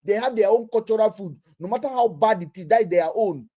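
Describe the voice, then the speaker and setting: Nigerian man, high-pitched, podcast host, forceful debater. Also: lively intonation, quick pace. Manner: forceful, questioning, emphatic. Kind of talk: heated casual debate.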